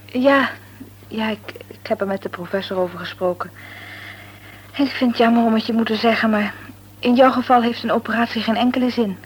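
An actress playing a caring, chatty young friend in an old Dutch radio play. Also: female voice, a little high, steady tone, a little fast, a little hiss or hum, lightly acted, rough recording. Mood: gentle, serious.

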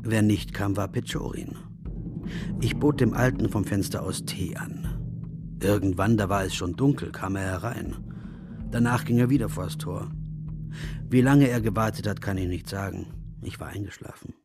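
Low-pitched, composed male storyteller played by an actor. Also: normal speed, loud music behind the voice, natural voice. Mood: reflective, measured. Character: reflective, observant travelling narrator, composed. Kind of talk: scripted literary narration in radio drama.

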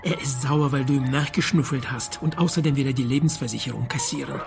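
A man's voice: mid-range voice, steady tone, quick pace, gravelly, lightly acted. An actor playing a scheming, soothing underworld man in a German radio drama, hesitant and reassuring. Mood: urgent, controlled. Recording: music behind the voice.

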